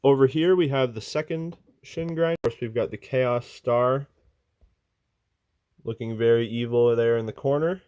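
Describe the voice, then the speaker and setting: A man with a smooth, clean voice recorded close and dry, talking his viewers through a big hobby project. He rambles cheerfully with plenty of ums and uhs. He is a little sick and starting to lose his voice. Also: mid-range voice, normal speed, a little mellow, no echo. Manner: rambling, filler-heavy, enthusiastic. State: losing his voice, a bit sick. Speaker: miniature hobby channel host. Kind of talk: casual show-and-tell walkthrough.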